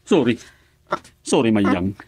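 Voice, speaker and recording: A man's voice: on the low side, lively intonation, slow pace, darkish tone, breathy edge, heavily acted. A man playing a pleading, wheedling husband in a radio drama comedy, with an Ilocano accent. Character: pleading husband promising his wife he will reform.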